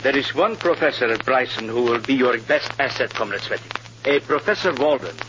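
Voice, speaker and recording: An actor playing a stern, suspicious male Soviet agent who gives orders in a measured, formal way in an old radio drama. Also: mid-range voice, expressive, music in the background, close to an everyday voice. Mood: businesslike, stern. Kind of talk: 1950s radio drama acting. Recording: muffled, hissy old radio recording.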